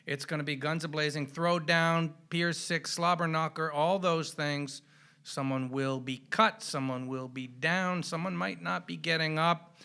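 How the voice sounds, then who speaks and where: A man's voice: fairly high pitch, steady tone, measured pace, creaky, pushed and bright, clear, resonant tone. A man hosting a sports podcast, giving commentary in a punchy, colloquial way with showy flourishes. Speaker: podcast host.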